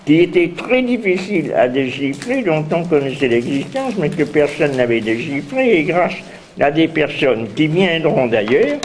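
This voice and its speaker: An older man with a bad head cold introduces a philosophy seminar, speaking haltingly with many fillers and restarts. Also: a little high, very animated, slight background noise. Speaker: seminar host. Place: university seminar room.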